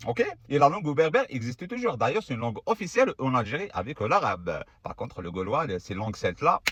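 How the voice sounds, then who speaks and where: A man's voice: mid-range voice, very animated, a little fast, a bit bright, dry recording. A man giving casual, argumentative history commentary to camera, sarcastic and full of rhetorical questions. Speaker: video creator.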